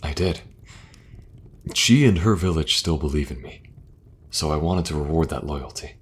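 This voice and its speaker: A man playing a weary, dutiful king in a fantasy audio roleplay, with a grave, deliberate, regal manner. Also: very deep voice, slightly rough. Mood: weary, resolute.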